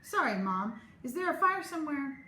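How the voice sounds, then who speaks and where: A woman reading a children's storybook, voicing an anxious, excitable young schoolboy with secrets to keep. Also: high voice, normal speed, exaggerated voice. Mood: puzzled. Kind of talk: children's storybook read-aloud. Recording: roomy echo, clear background noise.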